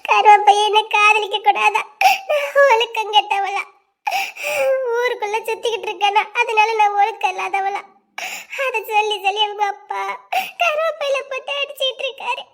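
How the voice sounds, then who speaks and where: A woman's voice: extremely high pitch, moderate pace, a bit bright. A woman voicing a distraught seventeen-year-old village girl in a cartoon melodrama, plaintive and emotional, with a southern Tamil Nadu accent. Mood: despairing, tearful.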